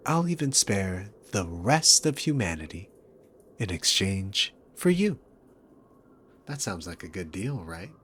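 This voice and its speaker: Demon king villain, played by a man: very low register, unhurried, teasing and dominant, sarcastic ASMR roleplay delivery. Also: big pitch swings, a bit bright, a little hiss or hum, heavily acted. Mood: amused, coaxing. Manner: smug, teasing, drawn-out theatrical phrasing. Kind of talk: scripted ASMR roleplay addressed to the listener.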